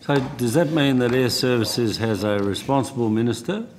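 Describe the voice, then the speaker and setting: An Australian man questioning officials as a senator in a hearing, with a blunt, halting, sardonic manner. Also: mid-range voice, animated, darkish tone, a little hiss or hum. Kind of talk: parliamentary questioning. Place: committee hearing room.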